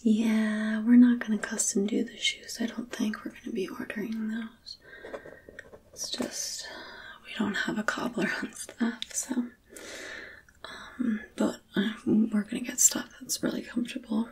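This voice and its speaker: A young woman speaking in a soft, breathy whisper as a wardrobe fitter in an ASMR roleplay, gentle, unhurried and reassuring, close to the mic. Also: on the high side, level tone. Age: young adult. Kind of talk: soft-spoken ASMR roleplay, personal attention.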